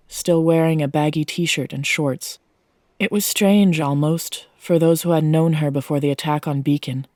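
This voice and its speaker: A woman's voice: low-pitched, warm tone, no room sound. A woman giving a scripted narration of a fiction story as its narrator, close to the microphone.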